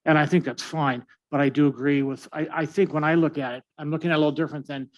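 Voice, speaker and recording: Council member, male, fairly high voice, frank, loose delivery. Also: steady tone, fast, muffled recording. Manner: candid, rambling with fillers. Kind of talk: council discussion.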